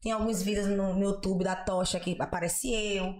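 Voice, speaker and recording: Woman, Bahian accent, playful guest, middle pitch, echoey room. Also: fairly even intonation, normal speed, darkish tone. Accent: Bahian Portuguese. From Salvador, Bahia, Brazil. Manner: chatty, playful, laughs at herself. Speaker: guest, comedy influencer. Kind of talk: casual interview chat.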